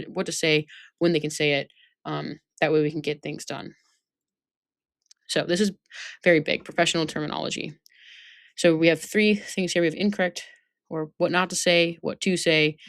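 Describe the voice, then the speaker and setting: A woman's voice: sits low, no echo. A woman instructor giving an informal training lesson, reading out points and explaining them, often checking that listeners follow. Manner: instructive, checks understanding with tag questions.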